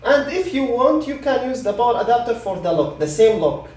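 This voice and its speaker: A man's voice: extremely high pitch, big pitch swings, fairly quick, a little mellow. Guy w non-native english doing online tech training, repeats stuff, reassuring, bit far from the mic, echoey. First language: not English. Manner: repeats points, often checks with "okay?". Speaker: technical trainer. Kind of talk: live online product training. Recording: echoey room, distant microphone.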